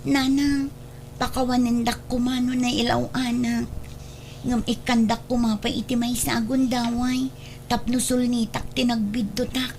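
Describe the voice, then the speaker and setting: A woman's voice: on the high side, flat delivery, unhurried, a little mellow, slight background noise. A woman playing a meek, apologetic young student in an audio drama, with an Ilocano accent and a deferential manner. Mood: pleading, remorseful. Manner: pleading, deferential.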